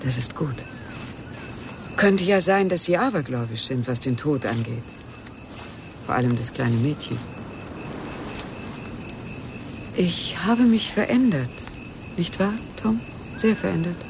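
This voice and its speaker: Casting: haunted, self-pitying widow in a radio thriller, creaky voice, halting delivery, over noisy outdoor ambience. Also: female voice, deep voice, very animated, heavily acted. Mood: bitter, agitated. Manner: brooding, halting, drifting into reminiscence. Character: world-weary grieving widow, haunted by memories, self-pitying. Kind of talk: German radio crime drama acting.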